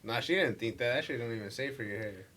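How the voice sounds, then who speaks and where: A man's voice: medium pitch, fast talker, over a noisy crowd. A young man co-hosting a podcast, chatting casually in laid-back slang and swearing freely. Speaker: podcast co-host. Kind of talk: casual chat.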